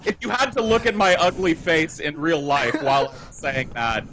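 Animated, high-pitched man. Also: moderate pace, crowd noise. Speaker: podcast co-host. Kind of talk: comedic casual banter. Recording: clearly noisy mic with clicks.

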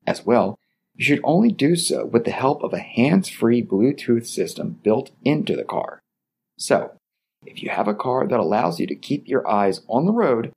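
A middle-aged man hosting a scripted etiquette advice podcast, speaking in a polite, measured way. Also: medium pitch, vocal fry, husky. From Baltimore, Maryland area. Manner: polite, measured, lively delivery. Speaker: podcast host.

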